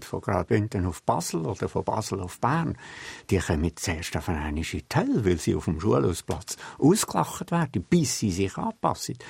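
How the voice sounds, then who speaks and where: A man's voice: fairly low pitch, creaky, darkish tone, slightly rough, no echo. An older man with a Central Swiss German accent, a literary scholar answering interview questions thoughtfully and emphatically, with frequent hesitations. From Central Switzerland. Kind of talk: reflective interview talk.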